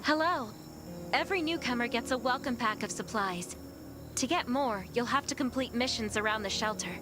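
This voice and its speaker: A woman's voice: a little high, moderate pace, close to an everyday voice. An actress playing a helpful female supply clerk in a game, welcoming a newcomer in a friendly, informative way. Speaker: supply handler. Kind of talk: game cutscene voice acting.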